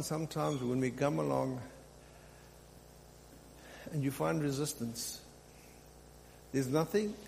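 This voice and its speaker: A man's voice: mid-range voice, creaky, darkish tone. A man preaching as a pastor, exhorting his congregation in an unscripted teaching style and repeating phrases for emphasis. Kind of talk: sermon, extemporaneous teaching. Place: large reverberant room, live preaching. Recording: hall reverb, faint hum and noise.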